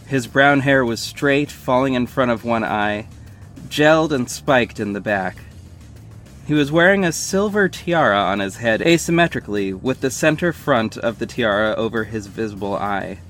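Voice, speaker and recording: A man's voice: medium pitch, expressive, normal speed, a bit bright, close to an everyday voice. A man playing a wry young superhero who narrates his own mission in scripted first person. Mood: descriptive, wry. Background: music bed.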